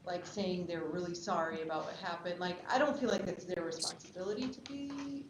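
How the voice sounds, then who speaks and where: A woman giving feedback in a casual group discussion, speaking hesitantly and softening her opinions. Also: on the low side, brisk. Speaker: participant giving script feedback. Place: indoor room at a table read, group around a table. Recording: distant mic in echoey room, noisy.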